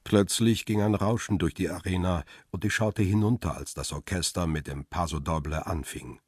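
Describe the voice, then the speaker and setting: A man playing a paparazzo who tells his own crime story in a radio drama, husky and measured in a literary way. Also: low-pitched, dry recording, studio quality. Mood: grave, absorbed. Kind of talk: radio drama first-person narration and dialogue.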